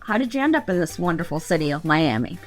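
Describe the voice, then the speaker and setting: Woman podcast host, slightly low voice. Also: sing-song, fast, faint music behind. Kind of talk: scripted podcast introduction and interviewing.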